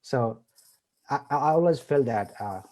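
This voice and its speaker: A man, a pastor, speaking in an earnest, halting way with many uhs on a panel. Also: medium pitch, expressive, warm tone. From Nepal. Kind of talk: panel discussion.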